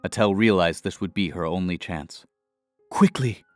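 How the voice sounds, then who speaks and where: A male storyteller narrates a fantasy adventure in a deep, smooth voice. His delivery is measured and dramatic, recorded dry and close, with music playing underneath. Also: fairly even intonation, dry recording. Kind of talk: scripted fantasy audio narration.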